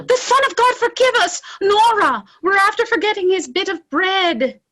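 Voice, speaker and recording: A woman's voice: extremely high pitch, lively intonation, unhurried, fairly bright tone, full character voice. A woman playing a capable, scolding young peasant daughter in a stage play, earnest. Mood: dismayed. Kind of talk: stage drama read as virtual play.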